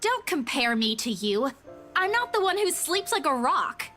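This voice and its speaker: A woman's voice: high-pitched, big pitch swings, normal speed, pushed and bright, faint music behind, pitched above the performer's own voice, full character voice. A woman voicing a sassy, defensive young woman in a visual novel, bantering back and forth. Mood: teasing, irritated.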